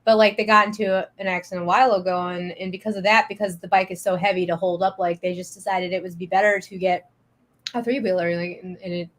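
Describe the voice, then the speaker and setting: A woman's voice: on the low side, steady tone, quick pace. A woman guest in a casual chat, talking in a chatty way. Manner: chatty, laughs easily. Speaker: guest game designer.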